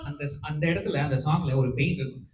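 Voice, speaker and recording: Male audition judge, higher-pitched, encouraging and hesitant, code-switching bilingual speech, echoey noisy room. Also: soft background music. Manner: encouraging, halting with fillers, mixes Tamil and English. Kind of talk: encouraging spoken feedback to a contestant. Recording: echoey room with background noise.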